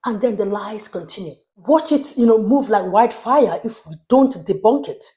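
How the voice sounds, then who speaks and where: A woman's voice: medium pitch, expressive, moderate pace, warm tone. Indignant Nigerian woman commentator. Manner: indignant, emphatic, rhetorical questions. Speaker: commentary show host. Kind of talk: opinion commentary to camera.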